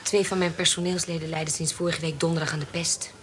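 A woman's voice: low voice, little pitch movement, fast, a little hiss or hum, lightly acted. An actress playing a cunning, deferential lady of a country house in a Dutch historical radio play, speaking politely with feigned meekness. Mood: feigned concern.

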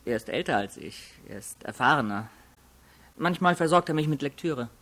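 A man's voice: a little high, expressive, normal speed, fairly bright tone. An actor playing a guarded, haughty nineteen-year-old male law student in a radio crime drama, curt and self-assured. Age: young adult.

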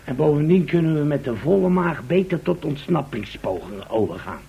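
An actor playing a placid, cheeky, hungry companion in a radio drama, drily unconcerned by danger. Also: male voice, fairly high pitch, lively intonation, brisk, creaky. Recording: dull, faintly noisy old radio recording.

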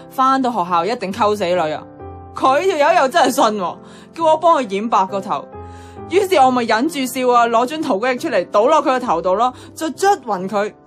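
A woman's voice: fairly high pitch, lightly acted. Cheeky, bossy young Hong Kong woman played by an actress. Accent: Hong Kong Cantonese. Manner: blunt, playful, colloquial. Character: bossy, cheeky, teasing young female flatmate. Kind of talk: radio drama dialogue.

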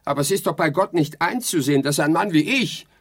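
An actor playing a haughty, exasperated dead sleep researcher in a theatrical radio play, declaiming and lecturing indignantly. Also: male voice, a little high, animated, brisk, a bit bright, breathy edge, full character voice.

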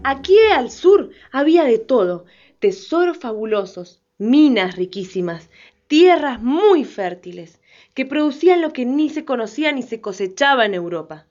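A woman's voice: high voice, very animated, normal speed, darkish tone. A woman narrating an educational radio drama in a solemn, emphatic manner. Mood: earnest. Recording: dull lo-fi sound.

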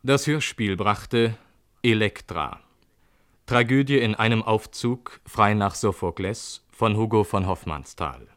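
Slow, measured male radio announcer. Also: fairly low pitch, a bit bright, no echo, studio quality. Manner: measured, neutral. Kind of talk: formal scripted radio credits.

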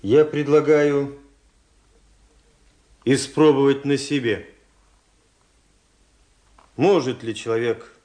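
Actor as solemn, fatalistic army officer. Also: male voice, on the low side, darkish tone, natural voice. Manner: measured, solemn, self-possessed. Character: calm, fatalistic Serbian officer who loves gambling. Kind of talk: radio play acting.

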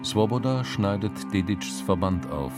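A male narrator delivers scripted scene descriptions for a radio play. His voice is very deep, set over loud music. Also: expressive, a little slow.

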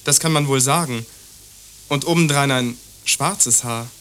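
An actor playing an obtuse, prejudiced young lover in a radio play, condescending and evasive. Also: male voice, medium pitch, measured pace, fairly bright tone, a little hiss or hum, close to an everyday voice. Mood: blithely dismissive. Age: young adult. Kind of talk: German radio play dialogue.

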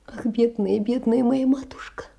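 A sickly, poor young woman in a stage drama. Her voice is very dark and soft-edged, and she speaks in an earnest, plaintive way, blaming herself and aching with sorrow. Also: on the high side, steady tone, a little slow, gravelly, husky, exaggerated voice. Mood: grieving. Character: sickly, anguished, self-reproaching poor young woman writing letters. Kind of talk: theatrical monologue, letters read as drama.

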